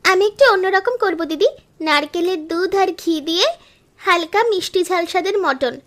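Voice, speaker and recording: A young woman voicing a lively, modern younger daughter-in-law in a cartoon, chirpy and affectionate. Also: very high-pitched, normal speed. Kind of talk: cartoon voice acting.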